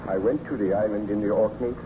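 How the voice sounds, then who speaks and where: An actor playing an anguished, guilt-ridden scientist baron in a theatrical, formal old radio melodrama style. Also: male voice, lowish voice, steady tone, fast talker, close to an everyday voice. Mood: grave, subdued. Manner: theatrical, fervent, formal diction. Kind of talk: 1930s radio melodrama acting. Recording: muffled, noisy old radio recording.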